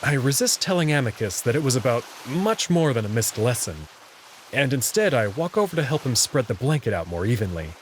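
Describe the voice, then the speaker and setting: A man's voice: mid-range voice, big pitch swings, a little fast, a little hiss or hum. A man reading first-person visual novel narration and dialogue as the story's protagonist.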